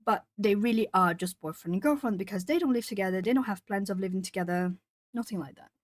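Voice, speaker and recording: A woman from Brazil, a native Portuguese speaker with a southern Brazilian accent, chatting casually with viewers and reading their comments aloud while she colours. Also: medium pitch. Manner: chatty, reads chat comments aloud, pauses to think. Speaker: art streamer.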